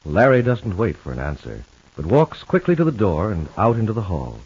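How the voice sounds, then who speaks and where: Sweeping, low-voiced radio soap announcer, man. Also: wildly swooping pitch, a little fast, darkish tone, breathy edge. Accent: American. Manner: dramatic, formal scene-setting. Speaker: announcer and narrator. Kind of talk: scripted 1950s radio soap narration. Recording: muffled old radio recording.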